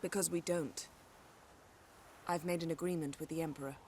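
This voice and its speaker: A woman's voice: low-pitched, fairly even intonation, normal speed, darkish tone, slight background noise. An actress playing a shrewd, commanding sorceress in a fantasy game, poised and formal in her speech. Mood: resolute. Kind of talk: video game voice acting.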